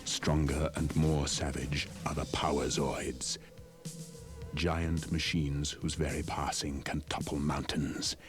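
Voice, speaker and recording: A man's voice: extremely low pitch, little pitch movement, slow pace. A man playing a solemn, world-weary namer of war machines, narrating a children's audio story in a portentous manner. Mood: ominous. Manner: grave, portentous. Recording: loud music behind the voice.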